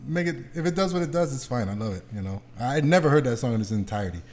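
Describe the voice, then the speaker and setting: A man's voice: medium pitch, expressive, rapid-fire, darkish tone. A man chatting casually as a podcast host in a conversational way.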